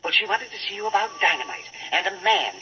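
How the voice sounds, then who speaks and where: High-pitched, dithering old-radio professor. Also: male voice, big pitch swings, fast, bright, forceful tone, heavily acted. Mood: befuddled. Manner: dithering, stammering, repeats himself. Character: flustered, absent-minded, timid male professor. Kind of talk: 1940s radio drama acting. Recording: muffled old radio broadcast, faint hiss.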